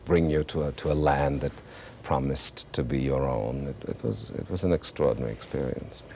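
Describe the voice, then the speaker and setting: An exceptionally deep, low-pitched male voice talks thoughtfully, pausing and restarting as he gathers his words, then landing dry, wry punchlines. He is a German-born actor and singer reminiscing as an interview guest. A faint hiss runs under the old studio recording. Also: creaky. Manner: thoughtful, halting with many restarts, wry humor. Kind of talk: reflective conversational storytelling.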